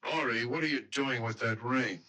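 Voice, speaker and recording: A man's voice: mid-range voice, moderate pace, sharp tone, natural voice. A man playing an exasperated, insistent young American fiancé in a radio mystery drama, urgent and commanding, at times pleading. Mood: irritated. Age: young adult.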